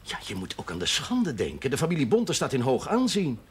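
Casting: a wry, paternal parish priest in television drama, medium pitch, very expressive melody, slightly noisy soundtrack. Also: male voice, a little fast. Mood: paternal, concerned. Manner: paternal, gently admonishing. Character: fatherly, wry male village parish priest. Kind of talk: television period drama acting.

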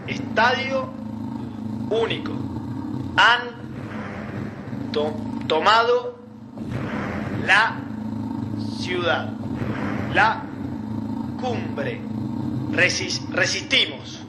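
An actor playing a soldier in a radio drama who reads out a message haltingly, word by word. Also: male voice, high voice, lively intonation, heavily acted. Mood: tense, laboured. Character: soldier slowly decoding a Morse message aloud. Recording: loud noise, distant voice.

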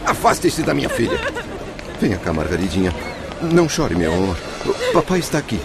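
A man's voice: medium pitch, very animated, a little fast, over a noisy crowd. A distraught ghostly father. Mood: anguished. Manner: distraught, pleading. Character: anguished father's ghost, a middle-aged man. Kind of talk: radio drama acting.

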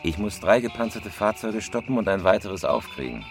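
Very low-voiced, terse career robber. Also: male voice, very animated, normal speed. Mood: businesslike, terse. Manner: laconic, matter-of-fact. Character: terse, cool-headed male career robber planning a heist. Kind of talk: German crime radio drama acting. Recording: music behind the voice. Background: music.

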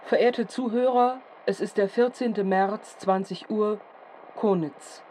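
An actress playing a formal radio news announcer in a period drama, reading a bulletin in a measured, factual manner. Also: female voice, mid-range voice, steady tone, warm tone, slight background noise, lightly acted, low-quality recording. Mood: formal, detached.

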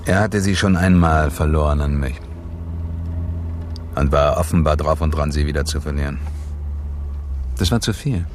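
Very deep-voiced weary investigator. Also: male voice, moderate pace, long pauses, a little hiss or hum. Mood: terse, weary. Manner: terse, laconic, sombre. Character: world-weary male investigator narrating his own bitter case. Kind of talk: noir radio drama narration and dialogue.

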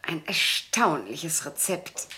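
An actress playing a haughty, gossipy, food-loving spinster in a German radio play, theatrical and full of pronouncements. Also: female voice, deep voice, sing-song, slow pace, sharp tone, slight background noise, full character voice, low-quality recording. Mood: indulgent, rapturous. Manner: theatrical, exclaiming, pronouncing judgments.

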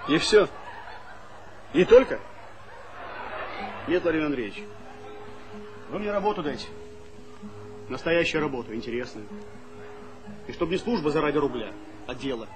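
An actor playing a brash, idealistic young engineering graduate, speaking with irony and challenge in a stage comedy. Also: male voice, on the high side, natural voice, low-quality recording. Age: young adult. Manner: ironic, challenging. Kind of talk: Soviet stage comedy acting.

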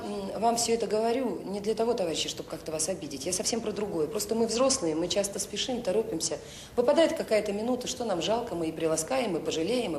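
An actress playing a devoted, earnest director of a children's home, speaking in short emphatic phrases in a naturalistic radio drama. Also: female voice, dark tone, close to an everyday voice. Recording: roomy sound with faint noise and rumble.

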